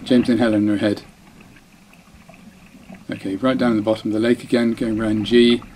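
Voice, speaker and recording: A man's voice: fairly low pitch, level tone, moderate pace, a little mellow, a little hiss or hum, low-quality recording. A man narrating his own dinghy race, chatty and self-deprecating, often breaking off mid-phrase. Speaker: dinghy racing sailor. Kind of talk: voice-over commentary on race footage.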